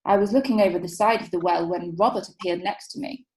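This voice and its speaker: A woman's voice: lowish voice, fairly even intonation, fast, a little mellow, natural voice. An actress playing a coy, flustered witness who stammers and hesitates as she testifies. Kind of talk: amateur radio drama. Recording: echoey room.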